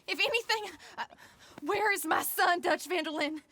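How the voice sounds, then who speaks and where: A woman's voice: very high voice, moderate pace, sharp tone, full character voice. An actress playing a fierce, frantic mother, distraught and demanding, in a Western video game. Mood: frantic, anguished. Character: frantic, fierce frontier mother of a stolen child. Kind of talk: dramatic video game cutscene acting.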